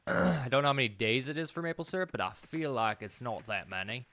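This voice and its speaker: A man's voice: medium pitch, expressive, a little fast, vocal fry, fairly bright tone, a little hiss or hum. A man in his thirties doing casual gameplay commentary, hesitantly thinking aloud and repeating himself as he mutters. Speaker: game streamer. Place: indoor room, streaming at a computer.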